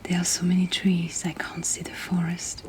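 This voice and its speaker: A woman who is not a native English speaker reads poetry as a host in a soft, breathy, gentle whisper, in an ASMR style. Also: fairly low pitch, completely flat delivery, poor audio. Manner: slow, gentle, deliberate delivery. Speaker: host reading submitted poetry. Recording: nighttime cricket ambience behind, faint noise. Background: crickets and insect ambience.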